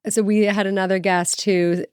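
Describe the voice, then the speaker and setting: A woman's voice: mid-range voice, level tone, moderate pace, a little mellow, clean tone, no room sound, studio quality. A woman co-hosting a podcast, chatty and enthusiastic in casual interview talk. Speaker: podcast co-host.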